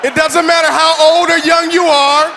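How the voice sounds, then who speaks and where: A young man giving a motivational speech from a stage, leading the crowd in call-and-response and often shouting. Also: very high-pitched, level tone, moderate pace, a little hiss or hum. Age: 20s. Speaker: motivational speaker. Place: large event hall. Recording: hall reverb, faint crowd.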